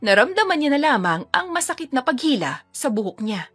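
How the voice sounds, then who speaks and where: High-voiced woman narrating audio drama. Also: very animated, brisk, a bit bright, soft background music.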